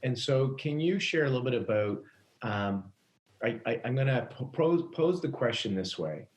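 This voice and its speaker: A man hosting an interview, friendly and a little halting, with many fillers. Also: medium pitch, expressive. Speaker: podcast host.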